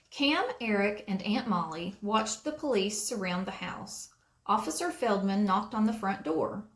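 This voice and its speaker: A woman narrates a children's story with deliberate, expressive phrasing. Her pitch moves in lively swings, and the sound is roomy and echoing. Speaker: storybook reader. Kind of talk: read-aloud narration for children.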